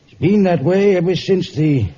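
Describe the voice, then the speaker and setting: A man playing a very mellow, measured judge. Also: high-pitched, lightly acted. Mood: somber, reluctant. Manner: measured, reflective, gentlemanly. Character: genial, measured male judge with somber reticence. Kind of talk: vintage radio drama acting. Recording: muffled, hissy old radio recording.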